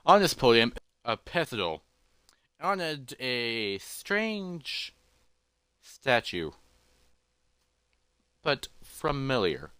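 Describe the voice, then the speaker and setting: A man narrating a fantasy adventure script in a halting, loosely read way, with dramatic pauses. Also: medium pitch, big pitch swings, bright, forceful tone, clear, resonant tone, dry recording.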